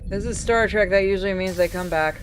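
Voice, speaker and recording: A man's voice: high-pitched, fast talker, slight background noise. Sweary, joking man doing game commentary. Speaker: co-host of game playthrough. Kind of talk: casual chat, game commentary. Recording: game music behind.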